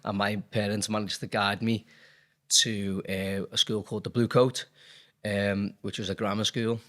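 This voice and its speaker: Low-voiced Liverpool man, chatty guest. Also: flat delivery, unhurried, a bit bright. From Liverpool, England. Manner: candid, rambling, many fillers. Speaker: dentist and business owner. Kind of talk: casual interview chat.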